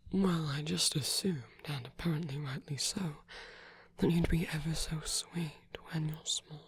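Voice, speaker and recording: A performer voicing a gentle, slightly grumpy, possessive supernatural lover in an ASMR romance roleplay, speaking softly and tenderly with hesitant pauses and frequent whispering. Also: mid-range voice, slight background noise, close to an everyday voice. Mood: wistful, possessive. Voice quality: soft, breathy, often whispered. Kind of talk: ASMR romance roleplay, intimate one-sided dialogue.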